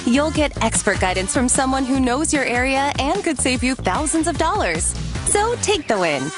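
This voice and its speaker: A woman's voice: high-pitched, very animated, normal speed. An American woman reading a scripted radio advertisement as an announcer. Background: music bed.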